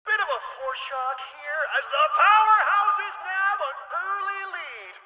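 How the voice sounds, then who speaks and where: An actor playing an excitable, teasing video game arena announcer, showy and theatrical, through a PA-style filter. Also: male voice, very high voice, a little slow. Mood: excited, teasing. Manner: showy, playful, exclamatory. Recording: tinny band-limited PA, long echoing reverb.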